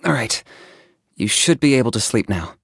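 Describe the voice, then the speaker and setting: An actor playing an aloof, pragmatic young hunter in a video game, speaking in a calm, reserved, matter-of-fact and dryly sardonic way. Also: male voice, medium pitch, normal speed, creaky, husky, dry recording, studio quality, full character voice. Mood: calm, considerate. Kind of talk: video game character voice acting.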